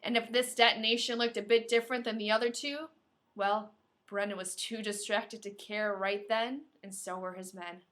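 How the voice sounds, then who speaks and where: A woman's voice: mid-range voice, little pitch movement, moderate pace, fairly bright tone. A woman co-hosting a podcast, speaking informally and playfully, with a dramatic flair when reading aloud. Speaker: podcast co-host. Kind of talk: reading a novel aloud with casual banter.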